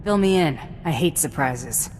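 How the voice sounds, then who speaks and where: A woman's voice: sits low, lively intonation, unhurried, a little mellow, in a large hall, slight background noise. A woman playing a blunt, tough bounty hunter in a video game, terse, dry and no-nonsense. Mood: dry, casual. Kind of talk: video game cutscene acting.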